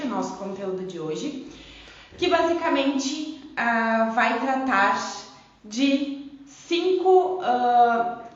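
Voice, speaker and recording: Echoey, higher-voiced southern Brazilian woman. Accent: southern Brazilian, Rio Grande do Sul (gaúcho). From Rio Grande do Sul, southern Brazil. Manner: explanatory, with frequent tag questions. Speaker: belly dance teacher hosting a live lesson. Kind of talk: informal live-stream lecture. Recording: very echoey room, dull muffled sound.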